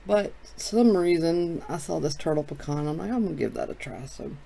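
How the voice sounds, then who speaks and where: Gravelly, mellow-voiced middle-aged man. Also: fairly high pitch, moderate pace, rough recording. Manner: relaxed, chatty, thinking aloud. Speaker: card shop host. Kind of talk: casual chat while opening card packs.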